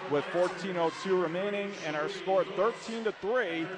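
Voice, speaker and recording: High-pitched male basketball play-by-play announcer. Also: normal speed, a crowd in the background. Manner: clipped running phrases, emphatic on big plays. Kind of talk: live radio play-by-play commentary. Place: indoor college basketball arena. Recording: noisy courtside gym broadcast. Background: gym noise, bouncing basketball.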